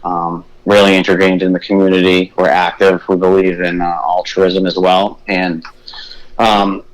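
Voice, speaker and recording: A man with a Boston accent, a treatment center head calling in as a guest and calmly explaining his program. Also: low voice, unhurried, rough recording. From Boston area. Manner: easygoing, explanatory, lists services steadily. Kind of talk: casual phone interview. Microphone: phone line.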